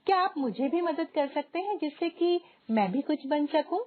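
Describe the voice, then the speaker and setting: A woman's voice: high-pitched, expressive, moderate pace, pitched above the performer's own voice. A woman voicing a determined, respectful young daughter in a Hindi moral story, earnest and pleading. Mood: concerned. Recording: dull lo-fi audio, music behind.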